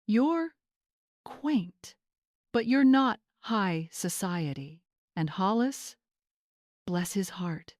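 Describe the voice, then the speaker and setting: A woman's voice: medium pitch, animated, extremely slow, long pauses, darkish tone, no room sound, studio quality. A woman voicing a smug young social-climbing bride, condescending and affected in an audiobook story. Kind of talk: dramatized audiobook character voice.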